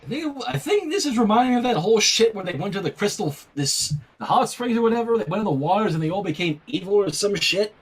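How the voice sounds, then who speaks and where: Fan fiction reader: male, very expressive swooping pitch, slightly raspy, casual between passages. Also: fast, hardly any pauses. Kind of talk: animated story reading with casual asides.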